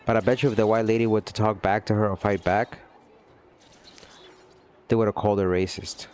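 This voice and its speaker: A man's voice: lowish voice, steady tone, a little fast, darkish tone, clear, resonant tone. A man chatting casually with his livestream viewers, earnest and rambling, often checking in with "you know what I mean". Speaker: gaming livestreamer.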